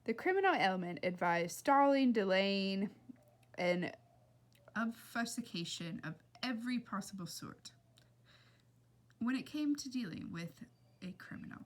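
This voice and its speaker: Expressive woman reading a story aloud. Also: medium pitch, measured pace, long pauses. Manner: expressive, with chatty asides to listeners. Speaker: teacher reading a children's book aloud. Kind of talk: animated read-aloud narration.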